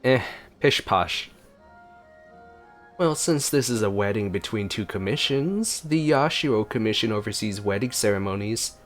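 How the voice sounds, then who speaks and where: A man's voice: lowish voice, animated, moderate pace. A man giving casual gameplay commentary as a streamer, laid-back with wry asides. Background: game music.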